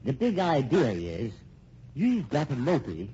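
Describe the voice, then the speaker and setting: An actor playing a smug, scheming husband in an old-time radio drama, full of stammering, mock-genial courtesy. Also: male voice, mid-range voice, wildly swooping pitch, a little slow, mellow. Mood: gloating, controlling. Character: scheming, smug, sardonic husband playing everyone against each other. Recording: muffled, noisy old radio recording.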